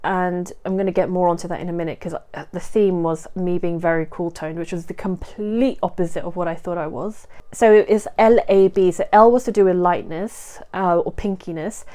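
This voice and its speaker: A woman's voice: fairly low pitch, fairly even intonation, normal speed, dark tone. A woman hosting her own vlog, chatting to camera in a casual, explanatory way with frequent asides and self-corrections. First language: not Korean. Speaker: vlogger host.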